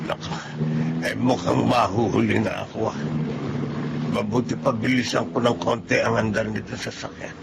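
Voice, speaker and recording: Gravelly, kindly old Filipino grandfather. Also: on the low side, fairly even intonation, moderate pace, heavy background noise. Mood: grateful. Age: older. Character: kindly, humble old benefactor, a grandfatherly father. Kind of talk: Filipino radio drama acting with narrated monologue. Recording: music behind the voice.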